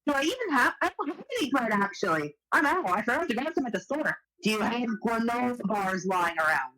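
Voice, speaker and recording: A woman's voice: a little high, very animated, a little fast, sharp tone, rough recording. A woman chatting casually on a group call, playing a party game. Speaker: truth or dare player.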